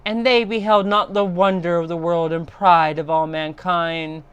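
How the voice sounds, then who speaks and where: A woman narrating a horror story in a theatrical, deliberate way, swelling in intensity as a livestream storyteller. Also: on the low side, steady tone, slight background noise. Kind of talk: dramatic horror story reading.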